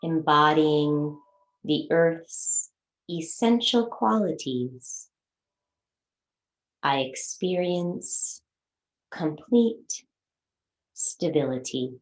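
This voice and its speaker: A woman with a low-pitched voice leads a guided meditation in a roomy, echoing space. She speaks in short, soothing phrases as a yoga teacher would, leaving long pauses for the listener to follow.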